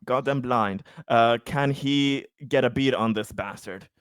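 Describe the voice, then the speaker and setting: A man's voice: medium pitch, unhurried, smooth, no echo, clean studio sound. A man playing a tabletop roleplaying game, chatting casually with blunt, joking, sweary remarks.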